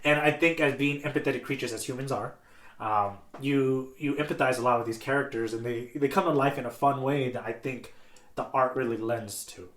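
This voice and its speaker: A man's voice: mid-range voice, brisk, a bit bright. A man co-hosting a podcast, chatting casually in an earnest, conversational way. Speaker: podcast co-host. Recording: roomy, echoey sound.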